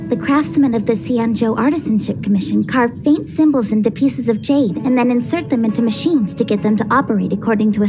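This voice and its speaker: A woman's voice: fairly high pitch, natural voice. A woman voicing an easygoing young female diviner who explains things in a casual, breezy way in a video game. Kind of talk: scripted video game voice acting. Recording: music under the voice.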